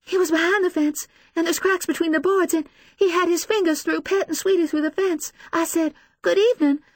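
A woman voicing a frightened, defensive grieving mother in an audiobook, tearful, halting and pleading. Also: sits high, animated, dry recording, studio quality, pitched above the performer's own voice. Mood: distraught, breathless. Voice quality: tearful, wailing. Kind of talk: dramatised audiobook dialogue.

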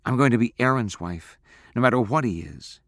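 Audiobook role: practical, insistent teenage girl read by a man, raised pitch, lively swings, close dry sound. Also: normal speed, vocal fry, dry recording. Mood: eager, determined. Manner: scolding, insistent, firm. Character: stern, practical, devoted teenage girl sweetheart. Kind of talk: audiobook character acting.

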